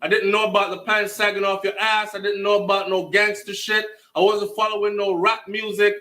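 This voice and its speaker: A Jamaican man with a very high, sharp, bright voice. He tells his own story with emphasis. He slips into patois and swears freely as he goes. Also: level tone, brisk. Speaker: storyteller recounting own life. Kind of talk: animated personal storytelling to camera.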